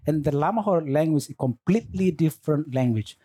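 A middle-aged man from Indonesia, speaking English as a later language, answering interview questions as a teacher in a thoughtful, unhurried way. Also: a little high, animated, dark tone, husky, no room sound, clean studio sound. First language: Lamaholot. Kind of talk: relaxed radio interview. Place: studio.

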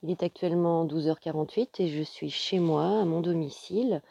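Gravelly French woman in her forties. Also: deep voice, moderate pace, dark tone. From France. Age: 40s. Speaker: interviewee. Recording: dull lo-fi recording.

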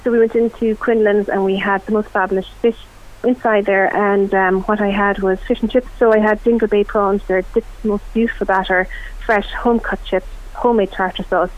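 A woman's voice: medium pitch, fairly even intonation, brisk. A middle-aged Irish woman giving an enthusiastic, chatty food report on the radio. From Ireland. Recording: tinny phone line.

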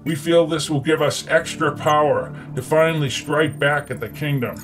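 A man's voice: a little high, moderate pace, fairly bright tone, soft background music, lightly acted. A man voicing a commanding, suspicious rebel leader in an audiobook, with faint hum behind him. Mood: formal, commanding.

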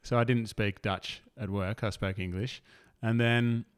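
A man hosting a podcast in a relaxed, chatty way, with frequent fillers like yeah. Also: fairly low pitch, unhurried, clean tone. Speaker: podcast host. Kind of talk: casual podcast interview.

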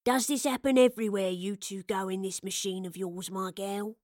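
A woman voicing an old-fashioned gentleman who speaks in a slow, courtly and amazed way. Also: mid-range voice, steady tone, no room sound, clean studio sound, natural voice.

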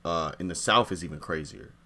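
A man of twenty in casual podcast chat, slangy and animated, leaning on "bro" a lot. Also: low-pitched, brisk. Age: 20s.

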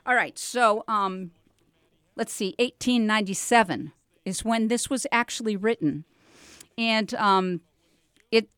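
A woman's voice: medium pitch, a bit bright, no room sound, studio quality. An older American woman hosting a casual radio talk show, chatty and conversational, speaking directly to her listeners. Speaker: radio host. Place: radio studio.

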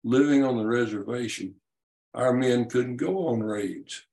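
A man, an author and podcast guest, telling and explaining a historical story in a measured, deliberate way. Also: mid-range voice, vocal fry, dark tone. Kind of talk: reading his own historical story and explaining it.

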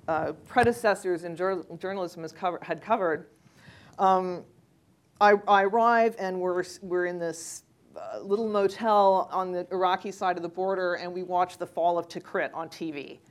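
A woman, a freelance journalist giving a measured, anecdotal personal account during a panel discussion. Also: fairly low pitch. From Canada.

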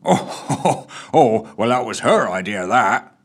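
A man's voice: high voice, big pitch swings, slow pace, fairly bright tone, pitched above the performer's own voice, heavily acted. A man voicing a jolly, rotund male police constable who keeps chortling and laughing as he talks.

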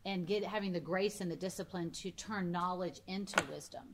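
A woman's voice: fairly low pitch, fairly even intonation, a little fast, noisy background. A woman in her forties giving conversational religious teaching as a co-host. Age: 40s.

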